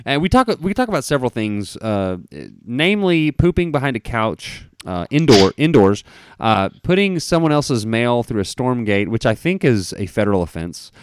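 A man's voice: mid-range voice, very animated, moderate pace, smooth. A man hosting a podcast, chatting casually with a guest in a jokey, crudely humorous way. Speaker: podcast host. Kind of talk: casual interview chat.

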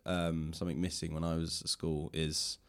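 Man, low voice, podcast interviewer, casual, reflective, discursive. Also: completely flat delivery, moderate pace.

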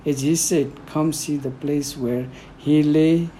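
A man's voice: fairly high pitch, fairly even intonation, slow, a little hiss or hum, rough recording. A man from Nepal with a Nepali accent, a Newari native speaker, talking hesitantly as a guest and reading scripture aloud. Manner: soft-spoken, hesitant with fillers. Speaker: guest reader. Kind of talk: conversational interview, then Bible reading.

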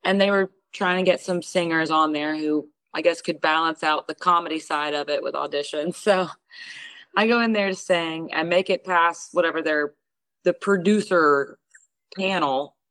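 Lively woman telling a story. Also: sits low, normal speed, no room sound, low-quality recording. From Houston, Texas. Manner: lively storyteller who acts out what others said. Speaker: guest singer. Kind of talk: casual interview storytelling.

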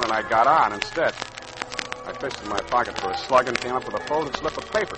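An actor playing a cynical, tough private detective with clipped, wisecracking delivery in a 1940s radio drama. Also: male voice, mid-range voice, natural voice. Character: hard-boiled cynical male private detective narrating his case. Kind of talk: 1940s radio detective acting, first-person narration. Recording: noisy old-time radio recording.

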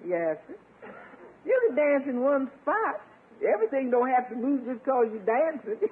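Very high-voiced sassy housekeeper, old radio. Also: female voice, animated, moderate pace. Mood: cheerful, teasing. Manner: folksy, wisecracking, teasing. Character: sassy, loyal, plain-spoken female housekeeper. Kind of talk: 1950s radio sitcom acting. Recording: tinny, faintly noisy 1950s radio recording.

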